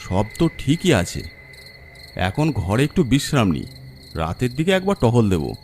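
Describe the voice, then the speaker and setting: A man's voice: mid-range voice, very animated, unhurried, darkish tone, a little hiss or hum. A man voicing a weary, needy graveyard guard of about fifty in a horror story. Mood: calm.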